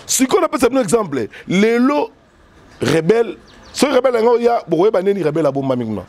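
A man's voice: high voice, very animated, a little fast, a little mellow. A middle-aged man with a Congolese accent, a former soldier and politician, talking emphatically in an interview. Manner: emphatic, gesturing, switching French and Lingala. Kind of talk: animated political talk. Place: indoors by a yellow wall. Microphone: furry handheld mic held close.

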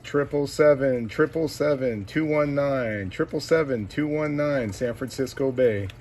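A man makes radio calls in short, repeated phrases, spelling out numbers deliberately. His voice sits away from the mic, under loud noise and clicking from the radio gear. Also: medium pitch. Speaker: CB radio operator. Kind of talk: radio calling, short repeated call signs. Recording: noisy radio shack, distant mic, clicks.

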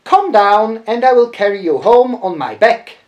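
A man's voice: high voice, animated, normal speed, a little mellow, highs cut off, pitched above the performer's own voice. A man voicing a cajoling, pleading male jackal in an African bedtime folk tale. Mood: urging.

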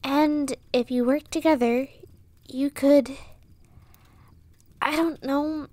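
A woman's voice: sits high, fairly even intonation, slow pace, mellow, dry recording. A woman playing a brave, pleading young commoner girl in a fantasy audio drama, speaking earnestly. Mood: hopeful pleading. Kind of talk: fantasy audio roleplay acting.

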